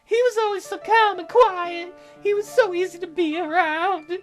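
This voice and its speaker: A woman's voice: very high-pitched, steady tone, normal speed, soft background music, pitched above the performer's own voice. A woman voicing a sweet, shocked young woman jogger in a visual novel. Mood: soft, wistful.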